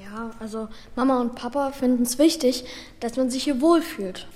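A woman's voice: on the high side, normal speed, mellow, lightly acted. A woman playing a sad, thoughtful child in a radio play, speaking hesitantly and matter-of-factly. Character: Bela, sad thoughtful child with a comatose brother. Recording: long hall reverb.

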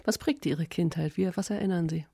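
A woman interviewer asking probing questions that gently lead her guest. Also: lowish voice, a little fast, vocal fry, slightly rough. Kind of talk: radio interview.

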